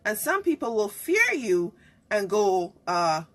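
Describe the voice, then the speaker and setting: A woman's voice: mid-range voice, very animated, takes it slowly. A woman who hosts a live online talk show. She chats casually, greets viewers and laughs often.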